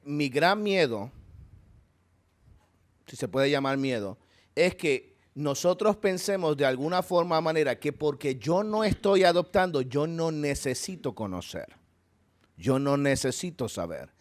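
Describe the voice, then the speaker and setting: A man's voice: on the high side, animated, measured pace, dry recording. A male pastor with a Puerto Rican accent, giving an earnest, conversational church talk and leading a closing prayer. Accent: Puerto Rican Spanish. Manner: earnest, conversational preaching with asides. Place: church hall.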